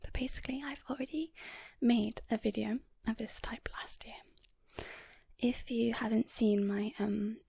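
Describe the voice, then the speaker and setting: Female ASMR host, very breathy whisper with crackly edges, casual and gently rambling. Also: a little high, steady tone, measured pace, no room sound. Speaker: ASMR video creator and host. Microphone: portable handheld audio recorder. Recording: close whispered recording on portable recorder.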